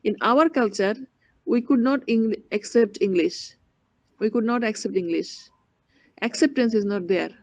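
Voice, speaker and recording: A woman teacher with a Bangladeshi accent, a native Bengali speaker, giving a halting classroom lecture full of restarts and spelled-out terms. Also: a little high, expressive, dry recording. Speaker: university teacher. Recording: dull, dry lo-fi recording.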